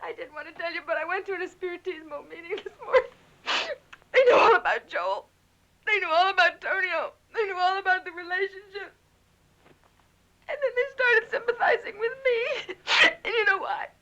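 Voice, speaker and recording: A woman's voice: extremely high pitch, fairly quick, pushed and bright, muffled recording, full character voice. An actress playing a distraught, tearful woman, pleading and agitated in a film drama. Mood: tearful, panicked. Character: distraught woman fearing for her possessed brother.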